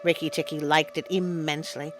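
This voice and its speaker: A woman reading a story aloud in an expressive, dramatic storytelling style. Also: deep voice, a little fast, soft background music. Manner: dramatic read-aloud, with interjected asides. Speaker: storyteller, show host. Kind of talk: expressive scripted story narration.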